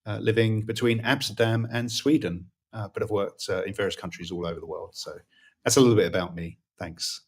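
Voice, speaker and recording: A British man in his fifties, a guest in an interview, talking in a relaxed way with many pauses and fillers. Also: lowish voice, breathy edge. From Britain. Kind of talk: interview conversation.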